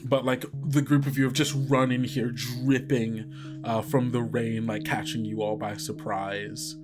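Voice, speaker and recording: A man's voice: medium pitch, flat delivery, unhurried, loud music behind the voice. A young man narrating a tabletop game as game master, describing scenes with many fillers and likes. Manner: rambling descriptions full of likes and uhs.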